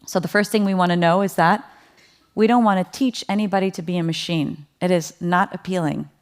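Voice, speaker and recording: Chatty woman giving a lively keynote. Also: on the low side, fairly quick. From Netherlands. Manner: lively, conversational, asks the audience questions; laughs lightly between points. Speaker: keynote speaker. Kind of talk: conference keynote talk.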